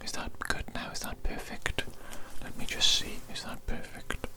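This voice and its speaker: A man whispering in a breathy, unvoiced voice, playing an eccentric, fussy doctor in an ASMR roleplay, with repetitive phrasing and a close microphone. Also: normal speed. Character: eccentric, fussy evil doctor pampering a captive patient. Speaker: ASMR performer. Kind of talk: whispered ASMR roleplay of a personal grooming session.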